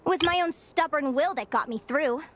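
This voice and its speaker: An actress playing a stubborn, determined young advisor in a game cutscene, earnest and reflective. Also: female voice, high-pitched, a little fast, slight background noise, lightly acted. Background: loud music.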